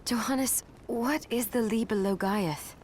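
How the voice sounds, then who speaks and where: An actress playing a determined young heroine in a video game, earnest and direct. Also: female voice, a little high, a little slow, darkish tone, slight background noise, close to an everyday voice. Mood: grave.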